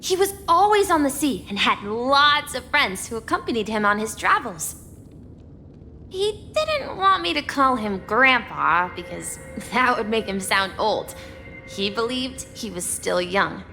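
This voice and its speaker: High-pitched, earnest young boy. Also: big pitch swings, a little slow, gravelly, sharp tone, full character voice. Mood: fond, wistful. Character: wistful, earnest young boy who dreams of adventure. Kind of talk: video game voice acting. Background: background music.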